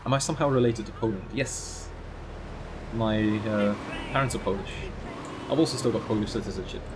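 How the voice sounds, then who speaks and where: A man's voice: lowish voice, fairly quick, background noise. A man from the Netherlands giving casual live commentary while streaming a driving game, chatting with viewers and laughing at his own crashes.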